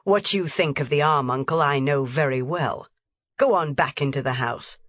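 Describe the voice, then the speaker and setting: Woman doing a gruff old grandfather. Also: sits low, moderate pace, fairly bright tone, no room sound. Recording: dry, slightly dull recording.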